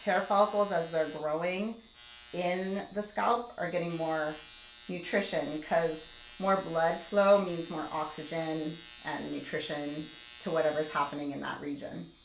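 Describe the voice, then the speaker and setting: 40-something woman doing a live skincare vlog, lowish voice, kinda echoey room with a little hum. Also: steady tone, unhurried. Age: 40s. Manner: chatty, explains steps while multitasking, reads chat aloud. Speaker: skincare livestream host. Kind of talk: casual live tutorial chat.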